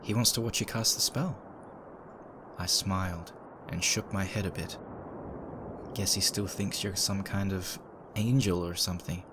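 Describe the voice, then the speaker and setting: Male narrator for dark audio fiction, deep register, somber and measured, heavy sound effects behind him. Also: fairly even intonation, noisy background. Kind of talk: dramatic first-person story narration. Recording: loud engine and ambient sound effects. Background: background sound effects of ambience and machinery.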